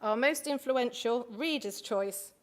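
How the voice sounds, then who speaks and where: A woman's voice: fairly high pitch, slow pace. Chatty woman hosting an awards ceremony. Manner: chatty, with asides and fillers. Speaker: awards host. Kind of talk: live award ceremony presentation. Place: large hotel function room.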